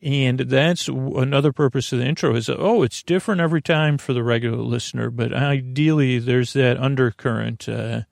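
A man hosting a bedtime podcast in a meandering, halting monologue that drifts off on tangents. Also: mid-range voice, a little mellow, no echo, clean studio sound. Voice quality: creaky, gravelly. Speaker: podcast host.

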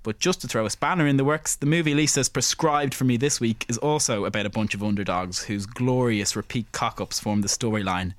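A man co-hosting a culture podcast, chatting casually and reading quick film summaries. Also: mid-range voice, dry recording. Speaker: podcast co-host.

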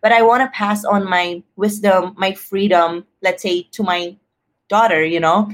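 Female podcast guest, mid-range voice, casual and expressive, acts out quoted thoughts. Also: mid-range voice, unhurried. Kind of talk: casual personal conversation.

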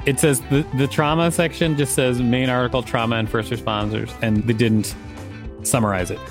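A man's voice: medium pitch, steady tone, moderate pace. An American man with a mid-range voice reads an encyclopedia article aloud as a podcast host. Faint guitar music sits behind him, and his reading mixes with casual banter.

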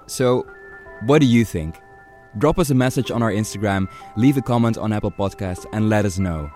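A man's voice: on the low side, normal speed, warm tone, soft background music. A man hosting a podcast, reading scripted narration in an explanatory way. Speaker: podcast host.

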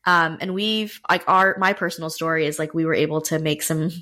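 A woman from North Carolina co-hosting a podcast, chatty and animated, filling her talk with "like". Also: low-pitched, a little fast. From United States (North Carolina). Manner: chatty, animated, heavy use of "like". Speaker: podcast co-host. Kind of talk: casual chat.

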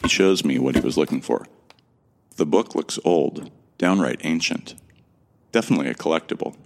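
An actor playing a bewildered, introspective amnesiac from Earth, telling his story in the first person in a measured, gravelly voice for an audio drama. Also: extremely low pitch. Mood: introspective, tense.

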